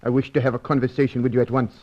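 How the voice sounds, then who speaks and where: Actor as formal, deferential manservant, mid-pitched; dull vintage radio recording. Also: male voice, level tone, very fast, natural voice. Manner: formal, deferential, says Senor. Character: polite South American servant, formal and deferential.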